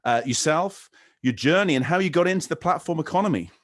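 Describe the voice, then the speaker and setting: A man's voice: on the high side, animated, a little fast. A man from a Nordic country hosting a podcast interview, asking long, rambling questions full of repeated words. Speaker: podcast host.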